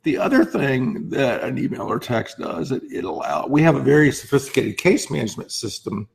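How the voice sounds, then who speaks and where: A man with a hoarse, laryngitic voice full of gravelly creak speaks at a slightly varying, medium pitch. He explains things informally to viewers in the manner of a lawyer giving advice. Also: very animated, fairly quick. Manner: frequent throat clearing. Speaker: lawyer hosting a firm's advice segment. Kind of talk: informal explanatory talk to camera.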